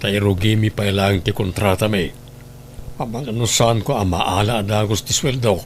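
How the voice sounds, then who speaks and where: A dutiful husband from a family radio drama, played by a man, speaking fairly low. He is patient and placating. Some noise sits behind the voice. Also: steady tone, moderate pace, close to an everyday voice. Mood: reassuring. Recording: noticeable background noise.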